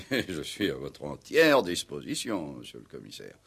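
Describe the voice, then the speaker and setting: Casting: pompous older ex-Resistance commander, deep and husky, eccentric village notable, vintage radio drama. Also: male voice, huge pitch swings, measured pace, a bit bright, exaggerated voice. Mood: emphatic. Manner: pompous, indignant, emphatic. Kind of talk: 1960s French radio play acting.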